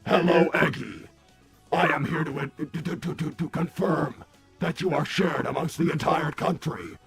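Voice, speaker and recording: A man voicing an earnest, polite robot on a comedic livestream, speaking formally and haltingly with repeated words. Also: on the high side, creaky, husky, faint music behind, full character voice.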